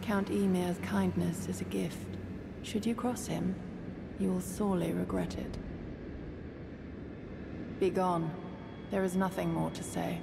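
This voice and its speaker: An actress playing a curt, haughty, fiercely loyal retainer in a fantasy game, cold and menacing. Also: female voice, lowish voice, steady tone, unhurried, vocal fry, long pauses, background noise. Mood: cold warning.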